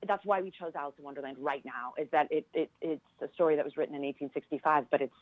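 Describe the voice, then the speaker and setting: A low American female voice comes through a thin, phone-like connection with no room echo. She talks as an interview guest, conversational, earnest and appreciative. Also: fast talker. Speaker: theater professor, interview guest. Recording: dull, phone-like band-limited line.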